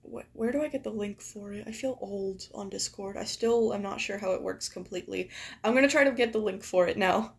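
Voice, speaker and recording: A young woman streaming and chatting casually with viewers, her voice a little raspy and deeper from just waking up, talking in a rambling way full of "like". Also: fairly quick. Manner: chatty, filler-heavy, many "like"s. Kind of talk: casual live-stream chat.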